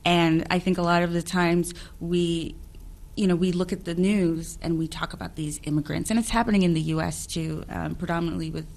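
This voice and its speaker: Actress lady, lowish voice, thinks out loud in an interview, restarts a lot, laughs easy. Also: gravelly. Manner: thoughtful, restarts often, laughs easily. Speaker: actress interviewed about her film. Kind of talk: casual interview chat.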